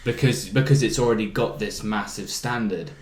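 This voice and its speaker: A man's voice: fairly low pitch, normal speed, a little mellow. A man chatting casually as a co-host, recorded in a roomy space with faint noise. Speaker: co-host of a video game chat show. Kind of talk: casual discussion among friends. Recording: echoey room, faint noise and clicks.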